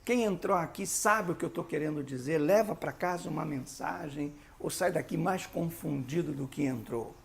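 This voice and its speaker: The gravel-edged voice of a Brazilian man you'd hear leading a church training session, explaining each point emphatically to volunteer leaders. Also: fairly high pitch, moderate pace, few pauses. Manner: didactic, emphatic, conversational with rhetorical questions. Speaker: pastor teaching a church leadership course. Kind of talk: instructional video teaching with sermon-like exhortation.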